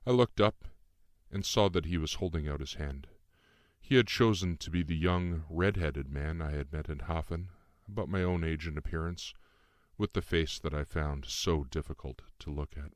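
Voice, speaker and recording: Male author-narrator, deep register, measured literary audiobook read, very dry sound. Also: no room sound. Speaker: author reading own novel. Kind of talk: scripted first-person audiobook narration.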